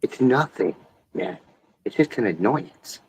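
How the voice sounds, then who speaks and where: A man's voice: medium pitch, sing-song, a little slow, vocal fry, a little hiss or hum. A young man talking earnestly on a late-night stream, rambling and swearing a lot.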